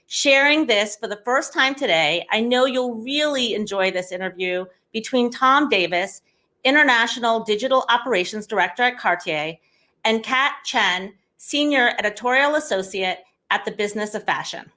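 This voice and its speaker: A woman's voice: on the high side, lively intonation, moderate pace, bright, forceful tone. A woman hosting a conference, reading an upbeat scripted introduction to a session. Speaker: conference host.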